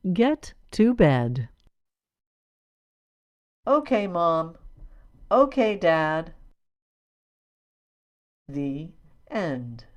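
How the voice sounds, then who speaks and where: A woman narrating an early reader for young children, in deliberate, simple phrases. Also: low voice, a little mellow, highs cut off. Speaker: audiobook narrator. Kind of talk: slow read-aloud of a children's early reader.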